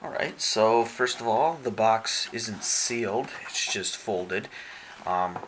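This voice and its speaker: A man giving casual unboxing narration as a product reviewer, explaining things in a measured, step-by-step way. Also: lowish voice. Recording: faint background hiss.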